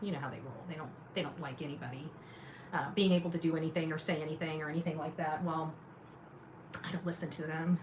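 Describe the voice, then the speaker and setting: Emphatic woman commentator with clear background noise. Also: deep voice, steady tone, fast talker. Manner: emphatic, repeats phrases, sarcastic asides. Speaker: independent journalist and livestream host. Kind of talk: casual livestream commentary to viewers.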